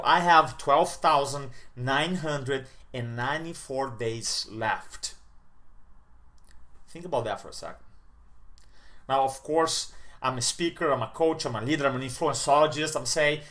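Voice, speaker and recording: A man in his forties giving an upbeat motivational talk straight to camera as a speaker and coach. He piles up repeated words for emphasis and swings from loud bursts to quiet lines. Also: a little high, normal speed. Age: 40s.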